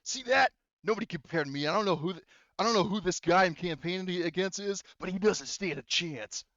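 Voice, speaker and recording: A man's voice: high voice, brisk, a bit bright, no echo, dull audio. A man voicing a boastful, dismissive political candidate in a tabletop roleplaying game. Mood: smug. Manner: cocky, dismissive. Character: boastful male political candidate, swaggering self-styled alpha rapper.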